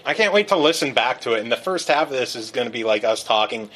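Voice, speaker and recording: A man's voice: mid-range voice, animated, fast, a bit bright. A man co-hosting a casual podcast, teasing the others and steering the talk.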